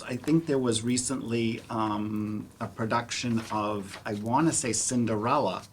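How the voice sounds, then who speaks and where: A panelist in a casual discussion, speaking haltingly with pauses between items. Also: mid-range voice. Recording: noisy room at a live event.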